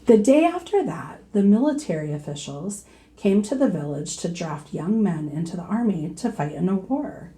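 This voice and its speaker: A woman reading a children's storybook aloud in a deliberate teacherly way, pausing to put questions to young listeners. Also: on the low side, very animated, mellow.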